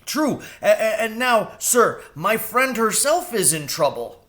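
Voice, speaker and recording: A man's voice: high-pitched, sing-song, measured pace, a bit bright, voice pushed up, exaggerated voice. A man voicing a nervous, eager male crow in a storybook, polite and hesitant, with a stammer. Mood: nervous, deferential.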